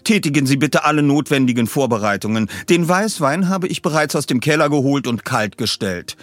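A man's voice: mid-range voice, animated, brisk, dry recording, pitched above the performer's own voice, lightly acted. A man voicing a young female secretary who is formal, businesslike and bossy, in an audiobook.

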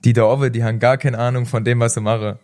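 A man's voice: mid-range voice, level tone, very fast, a little mellow. Easygoing man who laughs a lot. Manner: easygoing, laughs often, hesitant with fillers. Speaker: podcast co-host, former footballer. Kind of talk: casual chat.

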